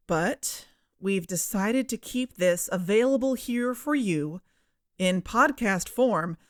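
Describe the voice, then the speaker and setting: A woman playing a defensive, self-assured investigative podcast host with a deliberate, insistent manner. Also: mid-range voice, dry recording, clean studio sound. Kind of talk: scripted narration in audio drama.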